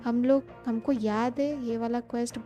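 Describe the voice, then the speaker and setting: A woman's voice: fairly high pitch, fairly even intonation, very fast, warm tone, lo-fi sound, soft background music. A woman with an Indian accent giving casual, chatty live gameplay commentary, mixing Hindi and English as she muses aloud. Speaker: game streamer.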